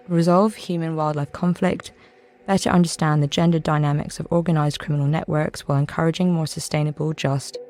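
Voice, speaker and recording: A woman hosts an interview podcast with a gravelly, fry-tinged voice. She reads a formal introduction in a measured, even way, then moves into reflective questions. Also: deep voice. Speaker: podcast host.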